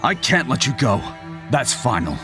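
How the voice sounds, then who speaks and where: A man's voice: medium pitch, very animated, normal speed, over music, natural voice. Stern, bitter father acted by a man, wide-swinging voice. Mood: angry, harsh. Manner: argumentative, commanding. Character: stern, bitter father, anti-military colonial speaker. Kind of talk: audio drama acting.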